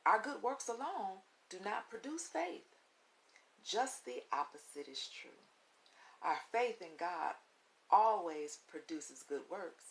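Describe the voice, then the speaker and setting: A woman reading a children's book aloud as a storytime host, with deliberate, instructive phrasing and emphatic pauses, on a recording with audible hiss. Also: medium pitch, very animated, poor audio. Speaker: read-along storytime host. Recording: audible hiss and background noise.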